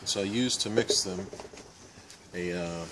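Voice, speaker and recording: A man in his forties giving a casual step-by-step how-to demonstration in a backyard, with relaxed fillers. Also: sits low, unhurried, noisy background. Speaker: hobby boatbuilder. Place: backyard outdoors. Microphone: camera mic, handheld camera nearby.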